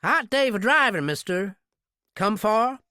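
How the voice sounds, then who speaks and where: A man playing a nosy high-pitched shop clerk. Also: measured pace, smooth, dry recording, clean studio sound, heavily acted. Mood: prying. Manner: prying, excitable. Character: nosy small-town male store clerk.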